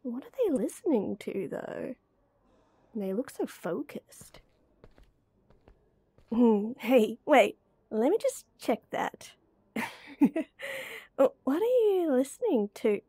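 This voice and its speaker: A woman's voice: high voice, big pitch swings, takes it slowly, pauses often, warm tone, husky, no room sound, full character voice. A young woman voice actor playing a playful, teasing close friend in a roleplay audio, speaking to the listener in a giggly, coaxing way. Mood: curious, playful.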